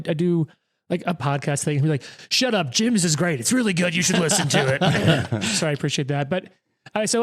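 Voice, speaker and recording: A man in his thirties, the game master, chatting casually in a rambling, self-deprecating way with lots of quoted asides and restarts. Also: on the high side. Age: 30s. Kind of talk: casual chat at the gaming table.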